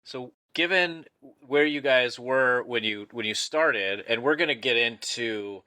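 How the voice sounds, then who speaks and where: A man's voice: mid-range voice, unhurried, sharp tone, smooth, dry recording, poor audio. A male host asking a long, hesitant question with restarts. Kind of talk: interview question.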